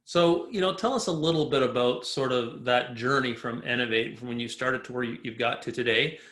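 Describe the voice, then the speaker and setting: A man's voice: medium pitch, brisk, fairly bright tone, echoey room. A man hosting a business interview, asking questions in an upbeat, conversational way. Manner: upbeat, conversational, uses "you know" often. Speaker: podcast host.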